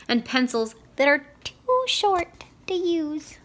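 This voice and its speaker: A woman's voice: high voice, very animated, slow pace, fairly bright tone, voice pushed up, exaggerated voice. A woman voicing a silly, earnest, sentimental male owl from a children's storybook, with dramatic delivery. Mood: sobbing sadly.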